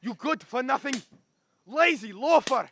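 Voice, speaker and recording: A man's voice: very high voice, animated, unhurried, fairly bright tone, a little hiss or hum, full character voice. A young man playing an angry, drunk, grieving middle-aged drugstore owner in a radio drama, barking his accusations. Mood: furious. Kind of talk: stage-like radio drama acting.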